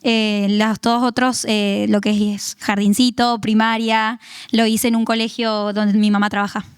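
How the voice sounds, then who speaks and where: A young woman from Tucumán, Argentina, with a Tucumán accent, chatting warmly through her testimony with frequent laughs and fillers. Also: mid-range voice, little pitch movement, a little slow, smooth, no room sound, studio quality. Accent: Tucumán Argentine Spanish. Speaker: guest giving testimony. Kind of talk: casual testimony interview.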